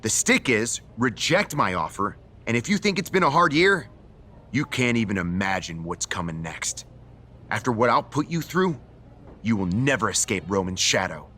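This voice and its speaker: A brash, animated wrestling boss, bright-voiced. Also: male voice, lowish voice, moderate pace, slight background noise. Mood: threatening. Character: brash, self-important male wrestling show general manager. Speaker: general manager of a wrestling brand. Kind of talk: dramatic video game cutscene dialogue.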